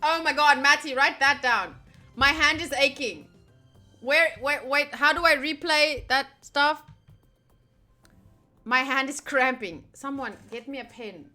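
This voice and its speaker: A woman giving excitable, giggly commentary on a visual novel playthrough while reading the text aloud. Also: high-pitched, measured pace. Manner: excitable, giggly, animated reactions. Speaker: streamer playing through a visual novel. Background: game music.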